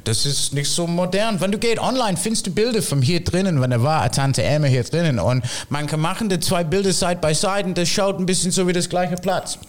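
A man's voice: sits high, brisk, hardly any pauses. A man from England with a British accent, speaking German as a second language with many fillers in a casual chat about his shop. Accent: British English speaking German as a second language. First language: English. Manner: broken German, many fillers, laughs often. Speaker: shop owner guest.

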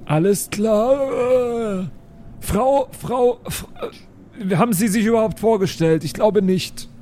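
A man voicing a grumbling undead man in an improvised role-playing audio play, drawling and halting as he goes. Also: high voice, warm tone. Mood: weary, awkward. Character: slow, grumbling undead man fed up with bureaucracy.

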